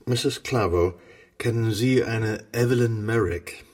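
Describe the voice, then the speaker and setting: An actor in a German radio drama playing a reserved, probing gentleman with a husky voice who asks polite, measured questions. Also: lowish voice, distant mic. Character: probing, reserved gentleman financial manager turned investigator.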